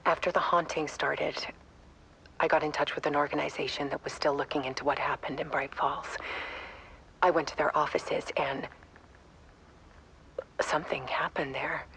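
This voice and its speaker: A woman playing a haunted artist in a video game, confiding in a hushed, halting, half-whispered monologue. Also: low voice, fairly even intonation, slight background noise, poor audio. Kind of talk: intimate confessional game monologue.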